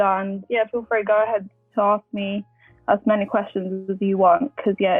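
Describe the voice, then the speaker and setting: A woman's voice: mid-range voice, no echo. Woman in her twenties on a tinny line. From Colombia, raised in London. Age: 20s. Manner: chatty, many fillers and restarts. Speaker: podcast guest. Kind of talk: casual interview.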